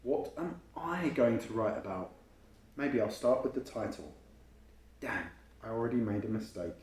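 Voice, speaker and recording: A man voicing an earnest, unsure, daydreaming schoolboy from a picture book, with expressive delivery. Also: mid-range voice, moderate pace, close to an everyday voice. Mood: pondering. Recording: roomy, echoing home recording.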